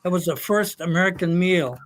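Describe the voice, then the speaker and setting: An older man from Germany telling his life story, halting with many fillers. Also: sits high, low-quality recording. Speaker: guest. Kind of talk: casual storytelling.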